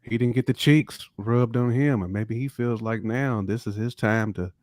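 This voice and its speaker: A man's voice: mid-range voice, normal speed, darkish tone, no echo, clean studio sound. An American man co-hosting a podcast in casual banter, slangy and repeating himself for emphasis. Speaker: podcast co-host.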